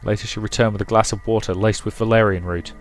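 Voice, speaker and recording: A man's voice: fairly low pitch, steady tone, fast, soft background music. A man reading a visual novel aloud as dramatic storybook narration for a let's play.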